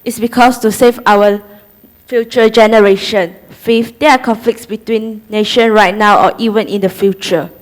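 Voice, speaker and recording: A woman's voice: mid-range voice, steady tone, normal speed, rough recording. A young woman from Malaysia giving a prepared student presentation, listing points steadily one by one. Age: young adult.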